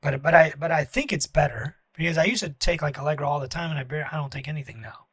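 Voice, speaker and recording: A man hosting a casual interview, talking in a nasal, raspy, slightly congested voice, rambling with lots of fillers and restarts. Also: on the high side, quick pace, a bit bright, dry recording. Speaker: host, obesity medicine doctor.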